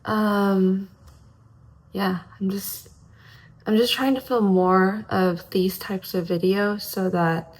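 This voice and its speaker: Raspy-voiced woman, slow hesitant talk. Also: on the low side, a little mellow, poor audio. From Hong Kong. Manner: hesitant, many ums and restarts. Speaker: vlogger. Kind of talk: casual one-take chat to camera.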